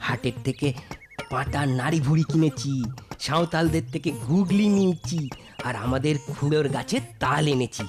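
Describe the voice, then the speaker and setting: A woman voicing a poor, scheming young villager in a children's cartoon, coaxing in an expressive, folksy way. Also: sits low, moderate pace, soft background music, lightly acted. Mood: eager, persuasive. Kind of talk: children's cartoon story acting.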